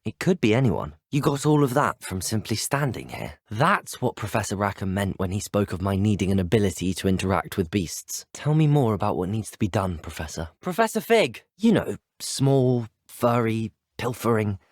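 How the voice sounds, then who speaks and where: An earnest young British student wizard for a video game. His delivery is articulate and polite, with very lively, swinging intonation in a slightly lower register. The sound is dry and close. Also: normal speed, few pauses, no echo. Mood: hushed, apologetic, then bold, alert. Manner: polite, articulate, formal phrasing.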